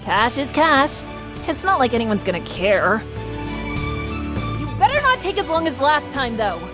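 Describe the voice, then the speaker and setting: Man playing a bratty haughty young woman, high swooping pitch, snappy, bossy, visual novel, music behind. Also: very animated, unhurried, heavily acted. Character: rude, haughty, impatient young woman on phone.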